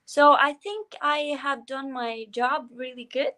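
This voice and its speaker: Norwegian woman, 20s, guest artist, talkative and excitable, slightly high voice. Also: takes it slowly. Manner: chatty and giddy, with many fillers and restarts. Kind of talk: casual interview chat.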